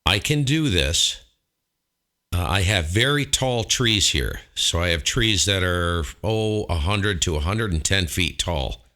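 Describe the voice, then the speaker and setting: A man's voice: low voice, expressive, slow, pushed and bright. A man talking casually as an amateur radio operator, thinking aloud as he goes. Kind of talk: casual ham radio chat.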